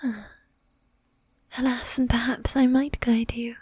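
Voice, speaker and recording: Woman playing a breathy, whispering, courtly female vampire. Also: fairly high pitch, level tone, a little mellow, no echo. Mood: courtly, coy. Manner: formal, archaic diction, slow and deliberate. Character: flirtatious, bossy, possessive female vampire, courtly and formal. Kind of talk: ASMR roleplay. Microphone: close microphone, dry.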